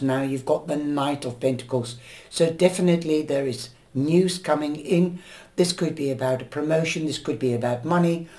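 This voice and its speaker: A woman giving a weekly tarot horoscope reading in a gentle, chatty, rambling way, her voice a little nasal from a cold. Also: sits low. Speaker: tarot reader. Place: indoors at a table, camera over the cards.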